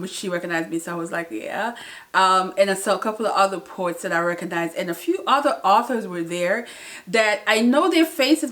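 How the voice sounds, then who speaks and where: A woman's voice: medium pitch, fairly bright tone. Chatty woman vlogging, lots of ums and restarts, casual. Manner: chatty, with frequent fillers and restarts. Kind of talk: casual vlog recap.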